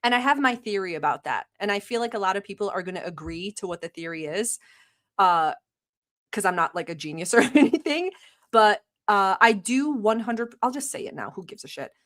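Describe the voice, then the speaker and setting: A woman's voice: medium pitch, lively intonation, a little fast, fairly bright tone. A young woman born in Turkey, Turkish her first language, hosting a casual livestream, chatty and teasing, laughing often and swearing casually. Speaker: livestream host. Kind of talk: casual livestream chat reacting to a video.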